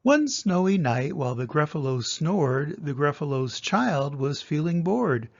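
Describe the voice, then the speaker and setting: A man's voice: a little high, sing-song, a little slow, gravelly, dull audio. An older man, a grandfather, reading a children's story aloud expressively.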